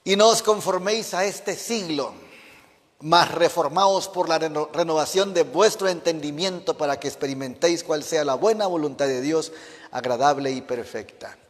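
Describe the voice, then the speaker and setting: A man's voice: fairly high pitch, normal speed. A man from Mexico, a pastor preaching a fervent sermon and putting questions to the congregation. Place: church. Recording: reverberant church hall.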